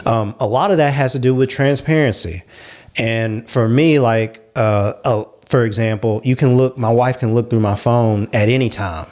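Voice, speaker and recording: A man's voice: mid-range voice, normal speed, a little mellow. A man hosting a talk show discussion in a conversational style, probing with follow-up questions.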